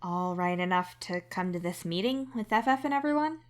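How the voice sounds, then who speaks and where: A woman's voice: lowish voice, expressive, normal speed, natural voice. Woman as a wry young alien guy. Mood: gentle, casual. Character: young male alien caretaker, teasing, self-deprecating, protective.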